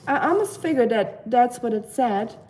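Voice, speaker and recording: A woman's voice: fairly high pitch, expressive, normal speed, darkish tone, low-quality recording. Chatty young woman livestreaming while cooking. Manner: chatty, many fillers, giggly asides. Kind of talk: casual live stream chat reading comments. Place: home kitchen, cooking while streaming.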